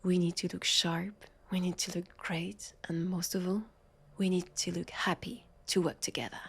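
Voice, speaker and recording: A woman with a French accent playing a sardonic, weary, centuries-old vampire police officer in an intimate audio roleplay, breathy and sighing. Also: on the low side, level tone, normal speed. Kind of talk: intimate scripted audio roleplay addressed to listener.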